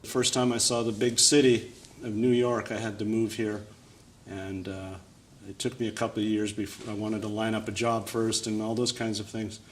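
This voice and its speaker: A man from northern Canada speaks in a plain, matter-of-fact way. His voice sits a little low. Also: level tone, normal speed, slight background noise. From northern Alberta, Canada. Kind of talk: documentary interview.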